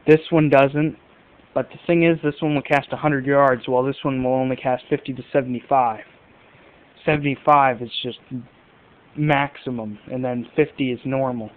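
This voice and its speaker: Male hobby vlogger, casual unscripted comparisons, smooth clear voice, tinny narrow-band camera audio. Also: medium pitch. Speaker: fishing video host. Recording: muffled phone-like amateur camera audio.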